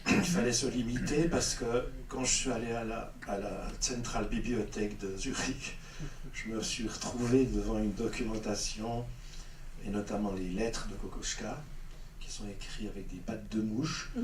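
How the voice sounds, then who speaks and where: A middle-aged man, a film director, answering audience questions at a screening with many fillers and restarts. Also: on the low side. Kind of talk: spontaneous Q&A answers. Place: cinema hall. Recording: echoey cinema hall, distant mic, faint noise.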